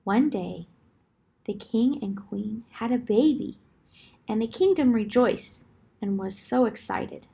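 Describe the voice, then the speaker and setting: Female storybook narrator, fairly high pitch, husky edge, deliberate and expressive delivery for children.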